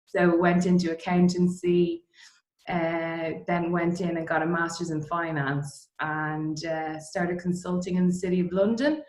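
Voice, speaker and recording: A woman's voice: lowish voice, steady tone, unhurried, a little mellow. A middle-aged woman with an Irish accent chatting informally as an interview guest about her own career. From Dublin, Ireland. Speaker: interview guest, distillery co-founder. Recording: roomy, echoey sound.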